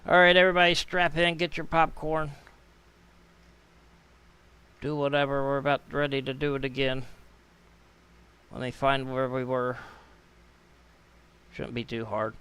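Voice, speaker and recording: A man's voice: medium pitch, steady tone, normal speed, pauses often, bright, forceful tone, clear, resonant tone. A man hosting a live stream with casual commentary, informally muttering his way through things aloud.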